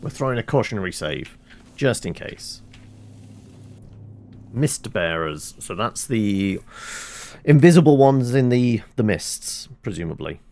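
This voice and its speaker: Slow, gravelly man commentating on gameplay. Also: lowish voice, sing-song, darkish tone, slight background noise. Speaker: gaming video host and player.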